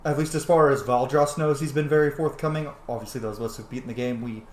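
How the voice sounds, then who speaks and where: A man's voice: mid-range voice, steady tone, fast, darkish tone. A man giving casual, jokey roleplay commentary as he streams a game, swearing freely. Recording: roomy, echoing sound.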